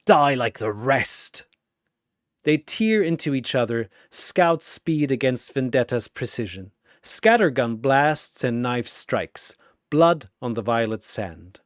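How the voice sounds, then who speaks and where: A narrator delivers dramatic scripted battle fiction. The pace is unhurried and the intonation lively and varied. The recording is dry and close. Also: medium pitch, no room sound.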